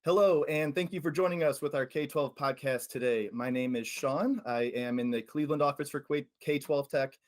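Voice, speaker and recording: A man's voice: on the high side, moderate pace, hardly any pauses. A man hosting a podcast, introducing a guest and asking questions in a slightly hesitant, stumbling way. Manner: hesitant, stumbles over names. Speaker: podcast host. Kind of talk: casual interview hosting.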